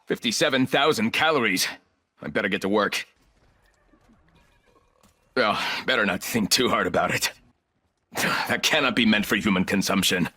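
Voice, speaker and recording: An actor playing an arrogant, ruthless, calculating corporate villain in a video game, speaking in clipped, condescending lines. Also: male voice, on the low side, moderate pace, long pauses, pushed and bright. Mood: dry, disdainful.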